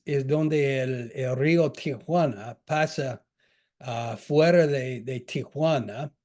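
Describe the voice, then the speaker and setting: Slow, halting lecturer with a mellow voice. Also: male voice, medium pitch. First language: not Spanish. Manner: halting, many restarts and fillers. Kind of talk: academic lecture. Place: lecture hall.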